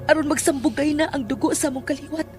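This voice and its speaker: A woman acting as an intense young woman in a horror radio play. Her voice is high and full of longing. Music plays clearly beneath her. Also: fairly even intonation, fast, lightly acted. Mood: intense pleading. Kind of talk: horror audio drama acting in Cebuano. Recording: music under the voice.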